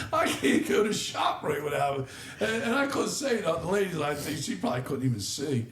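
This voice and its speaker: A middle-aged man with a slightly rough voice co-hosts a casual podcast. He sits a little high in pitch and rambles through animated stories, laughing as he goes. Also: normal speed, slight background noise. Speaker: podcast co-host. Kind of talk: casual chat.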